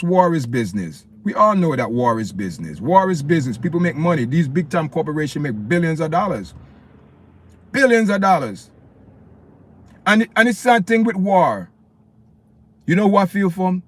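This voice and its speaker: A man's voice: a little high, slight background noise. Impassioned Jamaican man ranting on a livestream. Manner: impassioned, repetitive, preachy, addresses viewers as "people". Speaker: livestream host. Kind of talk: opinionated live-stream rant.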